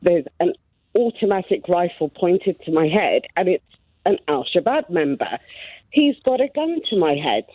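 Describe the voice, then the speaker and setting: A woman's voice: lowish voice, big pitch swings, moderate pace, slightly rough, no echo. Woman, thirties, from Somalia, radio caller, earnest, tinny phone line, dry sound. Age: 30s. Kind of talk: phone-in call.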